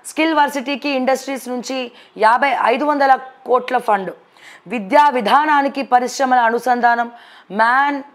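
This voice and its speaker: A woman news presenter with a Telangana accent, reviewing the papers and commenting on politics in a conversational, blunt, questioning way. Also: fairly high pitch. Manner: blunt, questioning, addresses people directly.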